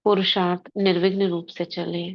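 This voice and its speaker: A woman leading a guided spiritual meditation, speaking in short, measured devotional phrases with pauses between them. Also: lowish voice, little pitch movement, muffled recording. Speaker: meditation leader.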